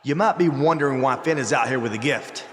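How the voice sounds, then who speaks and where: A man's voice: medium pitch, a little fast, close to an everyday voice. An actor playing an arrogant, scheming wrestler, a man giving a goading, theatrical promo to an arena in a wrestling game. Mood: smug, goading. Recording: echoey arena, clear background noise.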